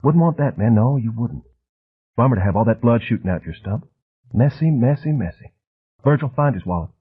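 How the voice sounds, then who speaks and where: A man doing a soft-voiced, jittery razor-wielding thug. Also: mid-range voice, expressive, husky, pitched above the performer's own voice. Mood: jabbering, menacing. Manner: soft, almost feminine voice with a hint of refined Southern accent; jabbering quickly, as if on speed. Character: jittery, menacing middle-aged man with a razor. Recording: muffled, phone-like old cassette audio.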